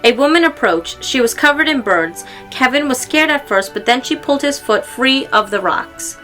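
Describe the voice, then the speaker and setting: Female storybook reader, bright and clear-cut, expressive delivery for young listeners, soft music underneath. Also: high-pitched, normal speed. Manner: expressive, acting out exclamations and dialogue. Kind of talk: read-aloud children's story narration.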